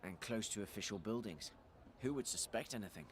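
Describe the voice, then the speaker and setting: A man's voice: fairly low pitch, animated, fairly quick, slight background noise, natural voice. A man playing an inquisitive, skeptical adventurer in a fantasy game, pointed and dryly witty. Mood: serious, alert. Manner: questioning, dry, pointed. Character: skeptical, inquisitive male adventurer with dry wit.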